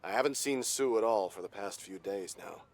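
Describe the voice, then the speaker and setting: An actor playing a puzzled, low-key townsman in a video game, with a hesitant, musing manner. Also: moderate pace, vocal fry.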